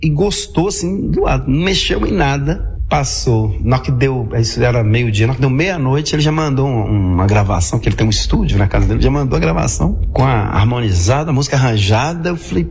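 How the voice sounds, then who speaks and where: A man's voice: mid-range voice, lively intonation, quick pace, gravelly, few pauses, a little mellow. A man with a Minas Gerais Brazilian accent, a singer-songwriter telling anecdotes casually in an interview, rambling and repeating words as he goes. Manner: rambling, colloquial storytelling, often repeating words.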